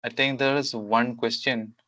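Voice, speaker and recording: A man's voice: medium pitch, fairly even intonation, measured pace, pushed and bright, dry recording. A man hosting a webinar Q&A on a video call, speaking hesitantly with many fillers. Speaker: webinar host.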